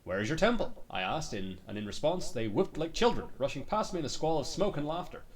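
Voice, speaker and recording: Man, game streamer, theatrical book reading, lively swooping intonation, sweary muttered asides, slightly off-mic. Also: a little high, brisk, recorded from a distance. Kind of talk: dramatic reading of in-game books.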